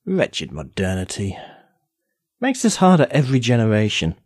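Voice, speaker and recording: A man's voice: on the low side, sing-song, moderate pace, no echo. A man playing an urbane immortal sorcerer in an audio drama, speaking formally with dry, sardonic impatience. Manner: wry, formal, theatrical phrasing.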